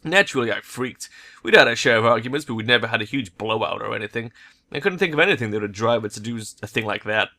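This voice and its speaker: Man acting heartbroken hacker, bright-voiced. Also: medium pitch, lively intonation, fast talker. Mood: hurt, frustrated. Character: hurt, heartbroken, indebted man, a hacker teammate.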